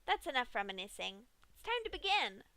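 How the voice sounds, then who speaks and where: A woman's voice: on the high side, big pitch swings, a little fast, sharp tone, dry recording, heavily acted. A woman voicing a cheerful, sadistic female pink pony in a bubbly, sing-song way. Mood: gleeful. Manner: chirpy, sing-song, unbothered.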